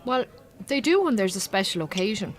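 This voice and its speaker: Actress as chatty Irish farmer's wife. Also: female voice, mid-range voice, sing-song, brisk, close to an everyday voice. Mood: frank, explaining. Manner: colloquial, chatty, frank. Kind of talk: naturalistic radio drama acting.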